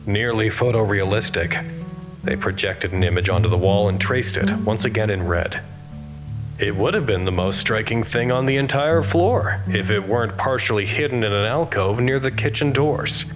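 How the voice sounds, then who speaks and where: An actor playing a reflective, gentle facility worker, narrating an audio drama log in a measured, formal, introspective way. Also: male voice, on the low side, music in the background, close to an everyday voice.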